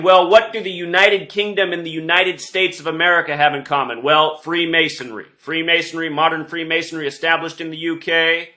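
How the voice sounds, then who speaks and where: A man's voice: a little high, sharp tone. A man hosting an online show, speaking in a rambling, insistent monologue with emphatic delivery. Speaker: online video host.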